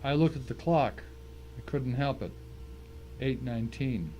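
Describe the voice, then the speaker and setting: A man's voice: mid-range voice, lively intonation, a little slow, dark tone, slight background noise, lightly acted. A man playing an uneasy, cornered tennis pro who narrates his own story in a plain, brooding way. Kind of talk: first-person dramatic story narration.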